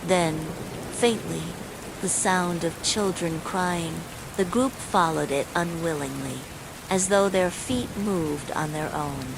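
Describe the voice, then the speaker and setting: Woman storyteller, unhurried pace, suspenseful scripted horror narration, sleep story, steady rain noise. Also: lowish voice, gravelly. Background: falling rain.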